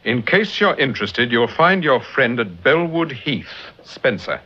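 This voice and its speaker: An actor with a British accent playing a suave, composed gentleman detective in a vintage radio drama. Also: medium pitch, very animated, unhurried, heavily acted. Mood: composed, urbane. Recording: muffled, noisy old radio broadcast.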